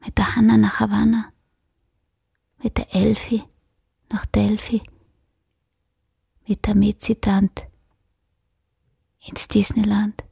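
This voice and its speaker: Very creaky, breathy woman poet. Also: medium pitch, level tone, takes it slowly, pauses often, mellow, full character voice. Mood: dry, playful. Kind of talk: radio play verse dialogue.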